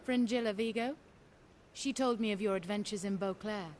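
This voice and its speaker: An actress voicing a poised, cunning noblewoman in a video game, genteel, measured and persuasive. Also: mid-range voice, a little mellow, slight background noise, lightly acted. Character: poised, cunning noblewoman schemer, coaxing and sly. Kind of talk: video game dialogue acting.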